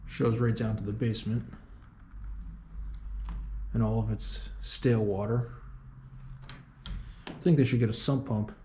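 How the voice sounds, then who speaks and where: A man's voice: lowish voice, fairly even intonation, measured pace, pauses often, dark tone, slight background noise. A man casually narrating a walk through an abandoned train station, musing and thinking aloud as he points things out. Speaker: urban explorer vlogger. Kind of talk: casual on-location walkthrough narration.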